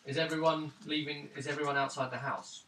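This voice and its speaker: A man's voice: a little high, steady tone, fairly quick. A man casually refereeing a tabletop role-playing game as game master. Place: indoors around a gaming table. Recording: roomy, distant mic, loud rattly table noise.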